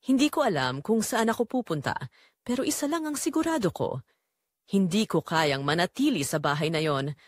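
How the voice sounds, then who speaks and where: A woman's voice: on the low side, no echo, studio quality. A woman with a Filipino accent narrating a scripted first-person drama in an emotional, theatrical way.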